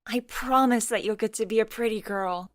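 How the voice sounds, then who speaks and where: A woman performs a young woman in a visual novel story, playful and excitable, the loyal best friend who teases. Her voice jumps high and expressive, and it is recorded very dry. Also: normal speed, no echo, close to an everyday voice. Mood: gentle, reassuring.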